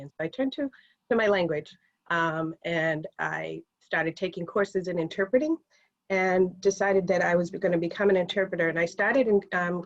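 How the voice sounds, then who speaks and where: A woman working as a community and medical interpreter introduces herself to a class, hesitating often with filled pauses. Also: deep voice, lo-fi sound. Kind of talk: self-introduction in an online class.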